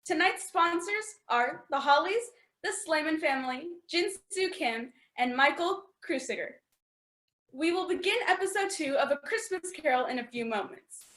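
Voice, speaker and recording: A woman's voice: high voice, lively intonation, moderate pace, a bit bright, roomy sound. A woman announcer reading a scripted introduction in the style of an old-time radio serial.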